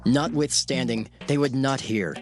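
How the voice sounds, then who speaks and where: A man giving solemn, emphatic, measured dramatic narration of scripture. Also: medium pitch. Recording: loud music under the narration.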